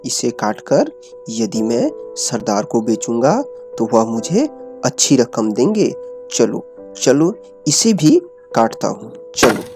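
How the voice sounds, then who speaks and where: A blustery rustic cartoon fellow played by a man. He drawls slowly, his pitch swinging widely, over clearly audible music. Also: sing-song. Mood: pleased. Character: brash royal minister and bewildered giant woodcutter. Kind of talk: Hindi cartoon dialogue.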